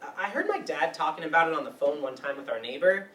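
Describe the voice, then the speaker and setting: Actor as an awkward lovestruck young guy, lively pitch swings. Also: quick pace. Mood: chatty, eager. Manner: hesitant, earnest. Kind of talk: staged play reading. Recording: roomy, distant mic, faint noise.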